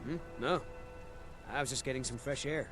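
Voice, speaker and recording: A man's voice: medium pitch, moderate pace, close to an everyday voice. An actor playing a chatty male townsman in a video game who has had a few pints of mead. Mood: offhand. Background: game music.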